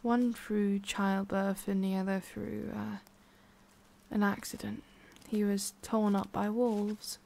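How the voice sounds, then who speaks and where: A woman playing a lonely, guarded immortal witch in an intimate ASMR roleplay, speaking softly and often dropping to a whisper. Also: medium pitch, little pitch movement, slow, gravelly. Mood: melancholy.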